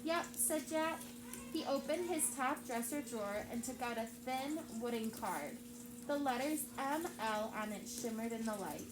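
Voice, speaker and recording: A woman teacher reads a chapter book aloud in an engaging way and keeps turning to her class with questions. Her voice sits a little high. The room echoes and there is steady background noise. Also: measured pace.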